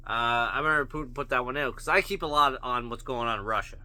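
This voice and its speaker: Sharp-voiced man ranting bluntly. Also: medium pitch, fast talker. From Canada. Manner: blunt, profane, rambling rhetorical questions. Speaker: vlogger. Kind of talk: opinion rant to camera.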